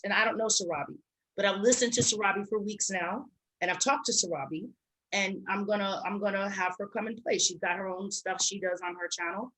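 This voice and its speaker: A woman's voice: mid-range voice, a bit bright, poor audio. A middle-aged woman co-hosting a show, speaking in casual, reflective conversation. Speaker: co-host of an online talk show.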